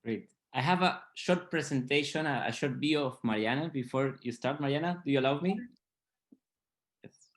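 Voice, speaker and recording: A man moderating a webinar who reads out a guest's introduction haltingly, stumbling over some words, over a video call. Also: medium pitch. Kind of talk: reading a guest bio.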